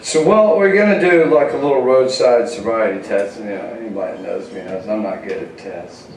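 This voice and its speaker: A man's voice: fairly low pitch, a little fast, a crowd in the background. A male comedian telling a casual, profane story in a slangy, rambling stoner way, recorded on an echoey club stage. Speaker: comedian guest on a live show. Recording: echoey live club stage, distant mic.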